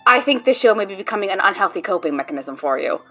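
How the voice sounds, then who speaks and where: A woman's voice: medium pitch, lively intonation, quick pace, pushed and bright, lo-fi sound, faint music behind. A woman voicing a sarcastic, exasperated friend in an audio drama, prone to ranting. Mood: deadpan exasperated.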